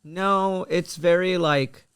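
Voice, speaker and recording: A man's voice: sits high, fairly even intonation, slow, a bit bright, smooth, no room sound. A man hosting a casual comedy podcast chat, joking loosely and rambling with many restarts.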